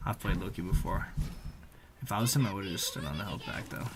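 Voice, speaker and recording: A man's voice: low-pitched, animated, a little fast, background noise. A young man giving casual, slangy voice-over commentary on gameplay in a loose play-by-play way. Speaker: gaming video creator. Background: game music and effects.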